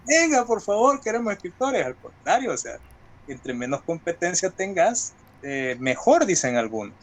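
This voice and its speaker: A man with a Salvadoran accent talks as a writer being interviewed. His voice sits a little higher than average. He is colloquial and reflective, building his points from examples. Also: very animated, normal speed. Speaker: guest writer. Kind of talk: conversational interview talk.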